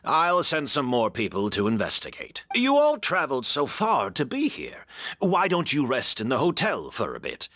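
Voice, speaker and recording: Gracious, bright-voiced host. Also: male voice, mid-range voice, wildly swooping pitch, normal speed, no room sound, studio quality, exaggerated voice. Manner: polite, considerate, explanatory. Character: gracious, apologetic adult male host. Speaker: hotel owner and host.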